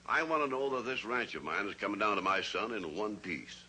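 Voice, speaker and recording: An actor playing a blunt, stubborn rancher and father who talks gruffly and plainly in a 1950s radio drama. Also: fairly low pitch, expressive, fairly quick, sharp tone. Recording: old radio recording with faint hiss.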